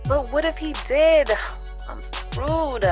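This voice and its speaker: A woman's voice: high voice, takes it slowly, music in the background. A woman acting in an audio drama as an anxious, secretive friend, speaking in a fretful, hesitant, conversational way. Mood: worried. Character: anxious, secretive woman confiding in her girlfriend.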